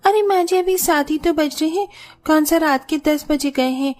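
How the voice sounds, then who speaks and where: A woman's voice: high-pitched, moderate pace, darkish tone, close to an everyday voice. A woman voicing a breezy, easygoing young daughter-in-law who casually makes excuses in a moral story. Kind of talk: animated moral story dialogue.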